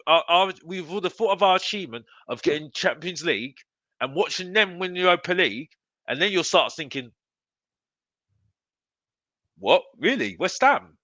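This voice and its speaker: A man's voice: on the high side, sharp tone, dry recording. A man hosting a live football fan show, ranting in an emphatic, repetitive, exasperated way. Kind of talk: live opinionated fan rant to viewers.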